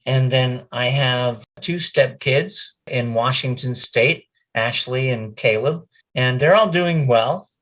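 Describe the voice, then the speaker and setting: A man's voice: medium pitch, slow, hardly any pauses, a bit bright. A middle-aged man, a voice actor, chatting casually as an interview guest.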